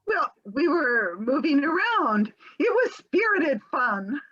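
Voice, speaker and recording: A woman's voice: sits high, very animated, slow, a bit bright, highs cut off, heavily acted. A woman reading the part of an evasive, chattery housewife in a comic scene, with a quavering, giggly delivery over a home video call. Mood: airy. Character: flighty, evasive middle-aged housewife, breezily unconcerned. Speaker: reader in an amateur readers theater performance. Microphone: home video call mic.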